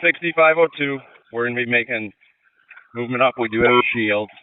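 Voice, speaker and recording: A man's voice: mid-range voice, brisk. A male police officer giving terse, urgent field reports over a handheld radio. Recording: tinny police radio, cutting out.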